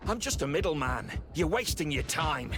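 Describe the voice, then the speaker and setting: An actor playing a smug, corrupt guard lieutenant in a video game, cocky and quietly threatening. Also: male voice, on the high side, sing-song, normal speed. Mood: defiant. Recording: noisy ambient sound behind the voice.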